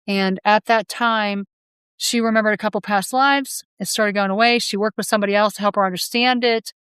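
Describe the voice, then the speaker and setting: Female hypnotherapist guest, chatty and meandering, crisp voice, dry close sound. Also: mid-range voice, fairly bright tone, no room sound. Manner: rambling, with frequent restarts and self-corrections. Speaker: past life hypnotherapist guest. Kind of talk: conversational interview answers.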